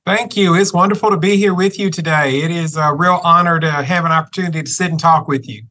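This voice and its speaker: Bright-voiced man in his fifties. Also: a little high, fast. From Memphis, Tennessee. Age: 50s. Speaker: guest, university chancellor. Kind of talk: podcast interview.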